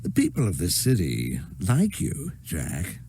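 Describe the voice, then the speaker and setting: An actor playing an arrogant, corrupt city mayor in a video game, condescending and quietly menacing. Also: male voice, low-pitched, huge pitch swings, a little slow, creaky, slight background noise, full character voice. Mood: veiled threat. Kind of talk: scripted video game drama.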